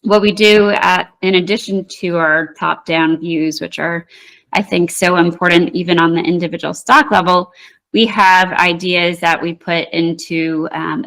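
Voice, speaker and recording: A woman with a fairly low voice talks through trading charts as a guest in an interview. She speaks in a measured, thoughtful way, often pausing on "um" and restarting phrases as she explains each setup. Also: fairly bright tone. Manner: measured, with frequent fillers and restarts. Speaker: market technician guest.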